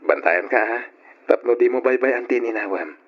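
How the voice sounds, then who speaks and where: A young Ilocano boyfriend in a radio drama, caring and quick to take charge. His voice is husky and mid-pitched, with an Ilocano accent. The sound is dull and lo-fi. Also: expressive. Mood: concerned, insistent.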